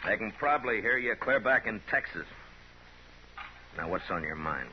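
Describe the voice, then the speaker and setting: An actor playing a stern, steady Western town marshal in radio drama, dry, terse and commanding, on an old recording. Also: male voice, lowish voice, moderate pace. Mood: firm. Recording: noisy, muffled 1950s radio recording.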